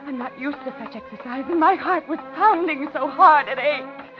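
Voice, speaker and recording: Dull vintage radio sound. Actress plays frightened female captive, high-pitched, polite on the surface, breathless dramatic narrator of a thriller. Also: normal speed, natural voice. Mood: panicked. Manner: dramatic, breathless first-person suspense narration. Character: frightened captive woman, narrating her ordeal, outwardly polite. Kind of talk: 1950s radio thriller acting. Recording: dull 1950s radio drama, loud music.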